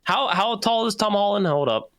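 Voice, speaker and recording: A young man co-hosting a casual podcast, excitable and swearing, laughing often as he jumps between topics. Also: high-pitched, moderate pace. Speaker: podcast co-host. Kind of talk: casual chat.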